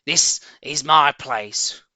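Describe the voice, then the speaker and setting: A man voicing an ill-mannered, territorial rat in a storybook, shrill and scolding. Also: extremely slow, bright, forceful tone, no room sound, full character voice. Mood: scolding, defiant.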